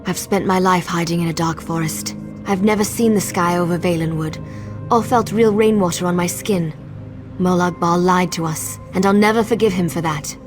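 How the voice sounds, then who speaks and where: Sheltered but proud young female fighter, voiced by an actress, fantasy game: earnest, slightly deep, wry, over music. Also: normal speed, lightly acted. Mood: earnest, resolved. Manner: earnest, wryly understated. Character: proud, sincere young rebel woman from a sheltered forest. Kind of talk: dramatic fantasy game dialogue. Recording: studio voice under prominent music.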